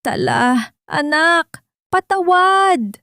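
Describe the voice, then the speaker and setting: A woman's voice: extremely high pitch, lively intonation, extremely slow, pushed and bright, dry recording, studio quality, pitched above the performer's own voice, heavily acted. A woman voicing a frail, loving older mother near death in an audio drama, weak and pleading. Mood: weak, sorrowful. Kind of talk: Tagalog audio drama acting.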